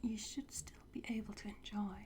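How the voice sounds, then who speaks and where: A woman whispering softly and breathily in an ASMR roleplay, playing a courteous, instructive fitting attendant. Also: mid-range voice, steady tone, moderate pace. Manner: soft, polite, instructive. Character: courteous attendant at a time travel suit fitting.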